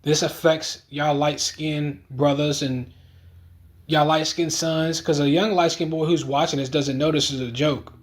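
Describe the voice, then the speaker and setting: A man's voice: a little high, level tone, measured pace. A man giving opinionated online commentary in an earnest, insistent manner.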